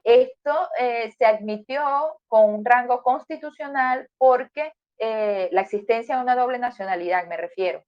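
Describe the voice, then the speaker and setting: A Venezuelan woman with a fairly high voice teaches a university class online, speaking straight to her students and walking them through each point with everyday examples. The sound is dull and lo-fi, as if it comes through a voice chat app. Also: moderate pace, hardly any pauses. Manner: explanatory, addresses students directly with everyday examples. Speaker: university lecturer. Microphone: voice chat on a phone or computer.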